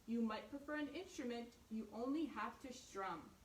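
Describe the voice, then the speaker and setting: A woman voicing a patient lion drummer from a storybook, gently giving advice. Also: fairly high pitch, lively intonation, normal speed, a little hiss or hum, close to an everyday voice. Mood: advising. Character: lion drummer in a jungle band. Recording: echoey room, far from mic.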